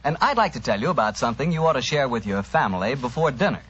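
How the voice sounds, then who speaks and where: A young American man hosting a 1940s radio show, giving scripted sponsor pitches and chat in a folksy, emphatic way. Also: sing-song, fast talker, a bit bright, husky. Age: young adult. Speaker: radio announcer and host. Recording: muffled vintage 1940s radio broadcast.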